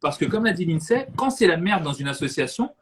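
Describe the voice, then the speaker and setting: Homme enjoué au son bruité. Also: male voice, a little high, a little fast. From French Caribbean. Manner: animated, laughs often, repeats words. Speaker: host. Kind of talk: casual live chat. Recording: noisy live stream audio.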